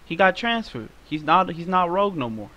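A man's voice: high voice, very animated, fairly quick, a crowd in the background. A young man joking around playfully with friends on game voice chat. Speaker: gamer on voice chat. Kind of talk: casual gaming chat.

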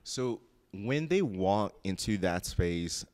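A middle-aged man from Haiti talks with animated energy into a handheld mic, his voice sitting a little low. He speaks casually as an interview guest, often calling out to listeners directly. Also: slow. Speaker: bakery owner, guest. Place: lounge studio with sofa. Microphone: handheld microphone.

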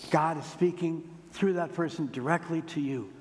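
An older man, a priest, preaching a homily in a plain, conversational way, slowing down to repeat key points. He stands by the altar of a large church sanctuary. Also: on the high side. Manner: plain, conversational preaching, with slow emphatic repetitions. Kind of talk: sermon. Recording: long church reverb.

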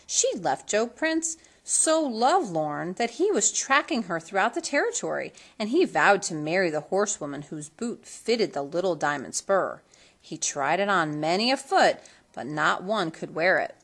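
Lively woman reading a storybook aloud. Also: fairly low pitch, normal speed, slightly rough. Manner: theatrical, emphasizes colorful words. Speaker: storybook reader. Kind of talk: expressive read-aloud of a children's storybook.

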